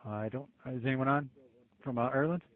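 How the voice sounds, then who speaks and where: Fast-talking American man on a phone line. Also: medium pitch. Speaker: law enforcement agent leading the call. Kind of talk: businesslike conference call. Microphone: telephone. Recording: tinny narrow-band phone conference line.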